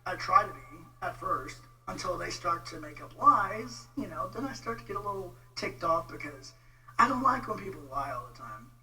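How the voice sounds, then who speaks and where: A man with a Texan accent giving an informal, halting, exasperated rant on a casual livestream. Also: sits high, sing-song, pushed and bright, soft background music. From Texas, United States. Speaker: vlogger, religious debate commentator. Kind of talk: casual livestream rant replying to viewer comments. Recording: distant mic with faint noise and hum.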